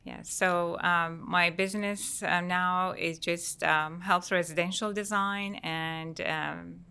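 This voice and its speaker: A middle-aged woman from Iran, a guest interior designer, chatting in a measured way with soft pauses. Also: fairly low pitch, fairly even intonation, vocal fry, clear, resonant tone. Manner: measured, pausing with soft fillers. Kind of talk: casual interview chat.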